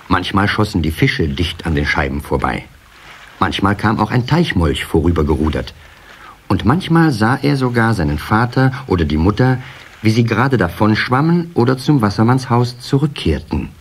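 Ein Mann erzählt als Sprecher eines Kinderhörspiels eine vorbereitete Geschichte, mit rauer Stimme. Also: male voice, on the low side, very animated, normal speed, a little hiss or hum. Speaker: narrator. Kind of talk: scripted children's storytelling.

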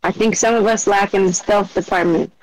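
A woman playing in a tabletop game session, chatty and planning out loud. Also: medium pitch, fairly even intonation, fairly quick, no room sound, poor audio. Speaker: player.

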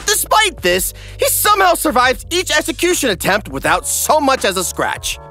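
Guy doing a sarcastic comedy recap, super animated, bright punchy voice, faint music under. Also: very high-pitched, moderate pace. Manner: theatrical, sarcastic. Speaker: narrator, parody recap host. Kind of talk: scripted comedic narration.

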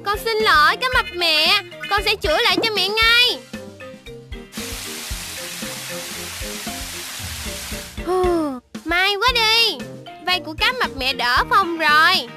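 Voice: very high voice, expressive, a little slow. An actor voicing a caring, cheerful baby shark doctor, encouraging and instructing young viewers in a children's cartoon, with music behind. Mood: reassuring.

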